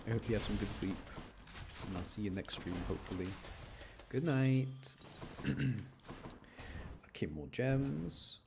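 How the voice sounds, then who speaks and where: A man's voice: fairly low pitch, animated, measured pace, pauses often. A man doing casual, chatty live gameplay commentary, explaining mechanics and muttering to himself, with game sound behind him. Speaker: game streamer. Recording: clear background noise, game sounds behind. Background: video game sound.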